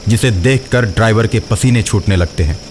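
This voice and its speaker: A man's voice: fairly low pitch, normal speed, a little hiss or hum. A man narrating a scripted horror story as the storyteller.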